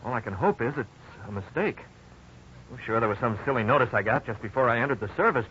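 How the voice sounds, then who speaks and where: A man's voice: medium pitch, lively intonation, fast talker, a bit bright, close to an everyday voice. An actor playing an earnest, boyish husband in his twenties in a 1950s radio drama. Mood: puzzled, worried. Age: 20s. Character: upbeat young husband and father, advertising salesman. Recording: muffled, noisy 1950s radio recording.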